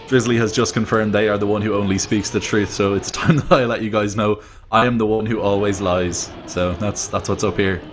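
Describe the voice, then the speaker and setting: Lowish-voiced wry male esports caster. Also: fairly even intonation, brisk, few pauses, slight background noise. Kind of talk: casual joking esports commentary.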